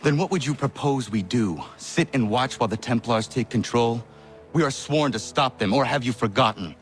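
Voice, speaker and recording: An actor playing an earnest, defiant young warrior in a video game, speaking bluntly with formal, measured words. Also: male voice, mid-range voice, soft background music, natural voice. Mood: defiant, angry. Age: young adult. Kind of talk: dramatic video game acting.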